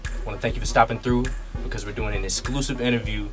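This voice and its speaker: A man's voice: medium pitch, steady tone, quick pace. A man working as host and interviewer, speaking informally in a casual interview style. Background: music.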